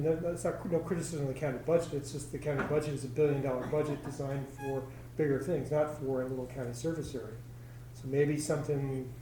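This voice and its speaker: A man's voice: mid-range voice, warm tone, slight background noise. A man on a public commission, talking in a rambling, self-correcting way at a meeting. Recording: echoey chamber, distant mic.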